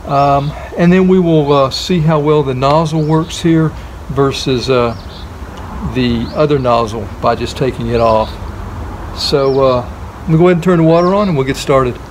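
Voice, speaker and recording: A middle-aged man giving a relaxed how-to demonstration in a driveway, thinking aloud with many ums and uhs. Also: medium pitch, moderate pace. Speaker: DIY car-washing vlogger. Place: outdoor driveway by a house. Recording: outdoor recording with running water noise. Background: hose spray and outdoor ambience.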